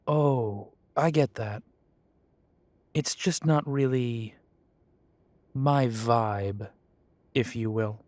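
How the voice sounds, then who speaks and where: A man's voice: medium pitch, extremely slow, long pauses, darkish tone, no room sound. A man playing a sardonic half-breed werewolf with a dry, sarcastic way of talking. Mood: wry.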